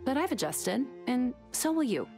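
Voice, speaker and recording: A woman's voice: high voice, sing-song, moderate pace, warm tone, soft background music. A woman playing a friendly, reassuring small-town doctor in a video game.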